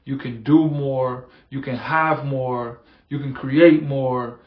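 A man's voice: medium pitch, steady tone, takes it slowly. Earnest man, echoey lo-fi room. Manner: earnest, repetitive, building emphasis. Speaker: podcast host. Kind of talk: motivational monologue. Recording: echoey, dull lo-fi room sound.

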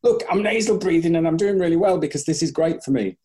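A man's voice: a little high, quick pace, warm tone. A man who works as a breathing coach, speaking in a podcast interview, thinking aloud, restarting his sentences and acting out what his clients say. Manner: thoughtful, restarts often, acts out clients' voices.

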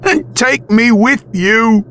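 A grieving, desperate widower, as a male storyteller puts him on, pleading through tears in an audio horror tale. The voice is pushed high and lurches up and down, dragged slowly as if barely holding together. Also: huge pitch swings, fairly bright tone, full character voice. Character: grief-stricken, widowed man pleading.

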